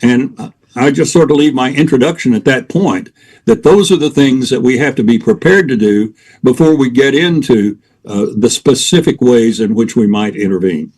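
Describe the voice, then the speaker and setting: An older man with a mid-range voice speaks in a steady, measured way. He explains his ideas patiently and ticks off points one by one, as a guest on a talk show. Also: medium pitch, low-quality recording. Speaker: guest expert on interventions. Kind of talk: radio talk show interview.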